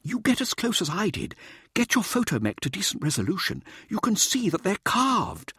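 Audiobook role: male reader as excitable male expedition scientist, insistent showboat, high voice, very animated, didactic. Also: normal speed, husky, no echo, clean studio sound, heavily acted. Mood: eager, pressing. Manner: theatrical, pressing, didactic. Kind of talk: audiobook character dialogue.

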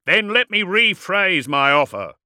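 A man's voice: medium pitch, expressive, unhurried, sharp tone, no room sound, studio quality, pitched above the performer's own voice. A man voicing an ancient villainous warsmith in an audiobook, mocking, cunning and defiant, with articulate, projected taunts. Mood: calculating threat. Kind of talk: audiobook character acting.

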